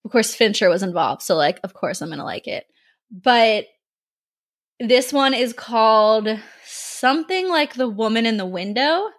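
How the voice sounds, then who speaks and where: Female podcast co-host, higher voice, eager and profane casual chat. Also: lively intonation, unhurried. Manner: enthusiastic, profane, laughs a lot.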